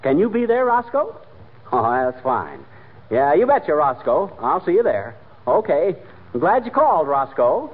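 An actor with an American accent playing a boastful, bumbling small-town husband, pompous and folksy, in 1940s radio comedy. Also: male voice, on the high side, big pitch swings, normal speed, slightly rough. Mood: important, chummy. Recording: tinny, noisy old radio recording.

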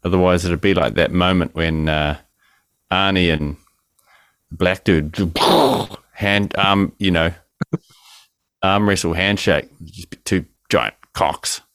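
A man's voice: sits low, fairly even intonation, takes it slowly, long pauses, a bit bright, clear, resonant tone, dry recording. A man co-hosting a podcast by remote call, joking crudely and swinging between mumbling and exclaiming. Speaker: podcast co-host. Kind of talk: casual podcast banter. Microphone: remote call mic.

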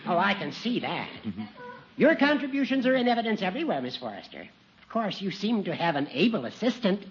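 An actor playing a teasing old judge with a bleating, goat-like voice and mock-courtly manner, in 1940s radio comedy style. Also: male voice, high voice, big pitch swings, brisk. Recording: muffled, noisy 1940s radio with audience.

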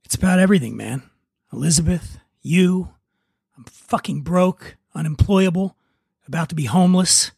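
A man's voice: high-pitched, normal speed, creaky, a little mellow, breathy edge, dry recording, studio quality, full character voice. A man playing a drunk, despairing older brother who is broke and defeated, in a screenplay reading. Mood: weary, exasperated. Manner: weary, profane, defeated.

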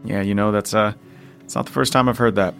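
Gravelly, very low-voiced weary father. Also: fairly even intonation, fairly quick, fairly bright tone. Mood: subdued, reflective. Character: weary, protective father surviving the apocalypse, former journalist. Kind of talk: audio drama acting. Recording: music under the voice.

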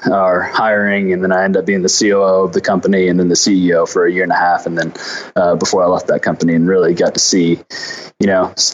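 A man's voice: deep voice, lo-fi sound. A man from Arkansas with an American accent, answering interview questions in an easygoing, informal way as a company founder. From Arkansas, United States.

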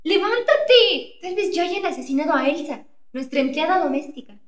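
Actress as high-pitched, bossy scolding wife. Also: female voice, moderate pace, echoey room, slight background noise. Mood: scolding, scornful. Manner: urgent, scornful nagging. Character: bossy, suspicious, scolding wife frightened of burglars. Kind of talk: comedic radio play acting.

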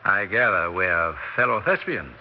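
A suave English thespian with a lowish voice that swoops extravagantly up and down. He is genteel, conceited and smooth-talking, heard on a muffled old radio drama recording. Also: sing-song, a little slow, heavily acted. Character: suave, conceited, tall English stage actor, a charmer. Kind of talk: 1960s American radio drama acting. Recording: dull vintage radio recording with hiss.

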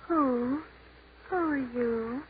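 A woman's voice: high-pitched, very slow. An actress playing a scared young woman who has just died, speaking in a trembling, pleading way. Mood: frightened. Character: frightened young village woman, newly dead. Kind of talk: 1940s radio drama acting. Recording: tinny old radio broadcast with hiss.

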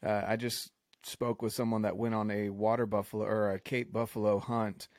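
A man's voice: on the low side, level tone, moderate pace, darkish tone, a little hiss or hum. A man from the United States hosting a casual conversation, enthusiastic, often repeating words as he starts a thought.

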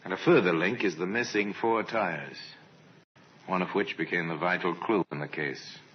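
A man narrating an old-time radio crime mystery as a grave storyteller, measured and dramatic, on a vintage recording. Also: low-pitched, dull audio, slight background noise, lightly acted.

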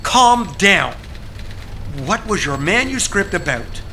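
A man's voice: high voice, sing-song, takes it slowly, sharp tone, slight background noise, heavily acted. A man playing a prissy, evasive, exasperated publishing agent in an audio drama, speaking in a fussy, formal, condescending way. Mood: placating, impatient.

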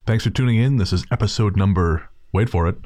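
A man with a fairly low voice hosts a podcast. He introduces the show in a casual, conversational way. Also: quick pace, a little mellow, no echo, clean studio sound. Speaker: podcast host.

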